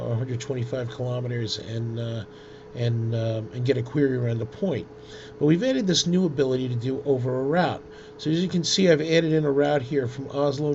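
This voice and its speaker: Male tutorial presenter, informal and hesitant with a creaky voice; dull recording with heavy noise. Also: medium pitch. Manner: hesitant, halting delivery with frequent ums. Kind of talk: informal screen-share software demonstration. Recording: dull lo-fi audio with loud noise.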